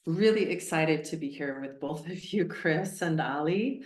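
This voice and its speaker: Low-voiced woman hosting an interview, echoey. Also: unhurried. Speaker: podcast host. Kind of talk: podcast interview. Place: inside a converted train station building. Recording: echoey room.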